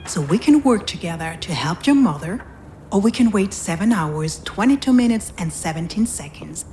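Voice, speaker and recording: An actress playing a frank, composed, sympathetic hospital doctor in a visual novel, speaking in a measured, professional way. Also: female voice, lowish voice, animated, dark tone, a little hiss or hum, natural voice. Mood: firm.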